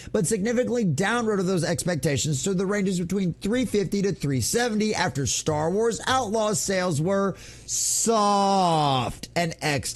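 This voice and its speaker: A man hosting an online gaming news show, giving opinionated commentary in an emphatic, theatrical, mocking way. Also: high-pitched.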